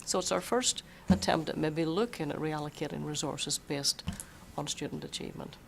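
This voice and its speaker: A superintendent who is losing their voice a bit, explaining a budget at a school board meeting in a measured way and repeating words while thinking. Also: medium pitch, gravelly, a little hiss or hum. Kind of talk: budget presentation at a public meeting.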